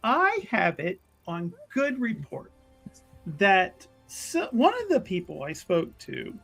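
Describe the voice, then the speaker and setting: A woman's voice: mid-range voice, huge pitch swings, takes it slowly, vocal fry, a bit bright, soft background music, heavily acted. A woman playing a probing, flustered and overly polite church official in a tabletop roleplay, stammering and repeating phrases. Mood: probing, halting.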